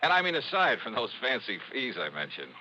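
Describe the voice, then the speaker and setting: An actor playing a brash, wisecracking American insurance investigator with a breezy, slangy manner, in 1950s radio drama style. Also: male voice, medium pitch, wildly swooping pitch, a little fast, sharp tone, heavily acted. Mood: fond, easygoing. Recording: dull old radio recording, faint hiss.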